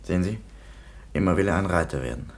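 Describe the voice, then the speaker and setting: A weary police commissioner in a radio play, reporting in a dry, matter-of-fact way. His voice sits very low and grinds with gravel. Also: fast talker. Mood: stern. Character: stern, tired police commissioner.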